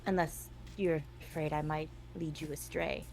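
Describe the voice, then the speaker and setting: A woman voicing a mysterious, resolute girl who coaxes and teases softly in a live story reading. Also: low-pitched, fairly even intonation, normal speed, lightly acted. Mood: coaxing. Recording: music behind the voice.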